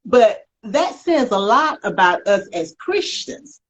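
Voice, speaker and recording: A woman hosting a conversational faith-themed interview, speaking in an emphatic, reflective way with drawn-out phrases. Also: mid-range voice, very animated. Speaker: talk show host.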